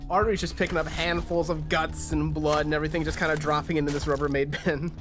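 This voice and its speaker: A man's voice: a little high, level tone, fast, music in the background. A man working as game master, improvising narration for a tabletop roleplaying game.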